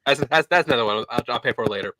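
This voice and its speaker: A man co-hosting a casual sports podcast, animated and joking, often correcting himself mid-sentence. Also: medium pitch, racing, slight background noise, rough recording. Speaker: podcast co-host.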